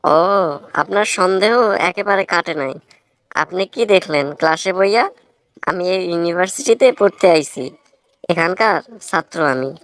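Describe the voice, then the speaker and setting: A woman's voice: on the low side, normal speed, dry recording, natural voice. A woman voicing an earnest, talkative young village student in a cartoon comedy, speaking in a rustic Barisal accent with blunt, moralizing manners. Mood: defensive. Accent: Barisal Bangla. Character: earnest, plain-spoken young village man, scholarship student.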